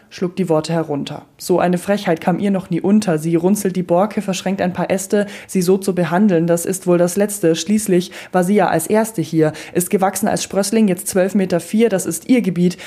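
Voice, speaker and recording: A woman narrating a rhymed story in a measured, rhythmic way. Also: lowish voice, warm tone.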